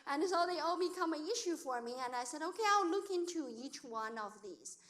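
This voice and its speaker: A woman's voice: high voice, brisk. A middle-aged woman whose first language is Chinese, telling personal stories in a talk to an audience, acting out what people said in simple, non-native English. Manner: lively storyteller who acts out quoted speech, in non-native grammar. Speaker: accountant and business owner speaking to an audience.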